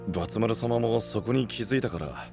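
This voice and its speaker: Deep-voiced, gruff young knight. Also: male voice, animated, a little fast, music in the background. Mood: remorseful, subdued. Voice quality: deep, heavy voice. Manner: rough masculine speech. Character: gruff young male knight, earnest and self-reproaching. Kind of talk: anime-style voice drama acting.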